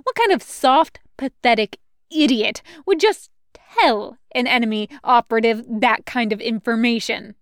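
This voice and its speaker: A woman's voice: a little high, unhurried, no echo. A woman voicing a sardonic, irritable female assassin, mocking and dry, in a sci-fi audiobook. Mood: scornful.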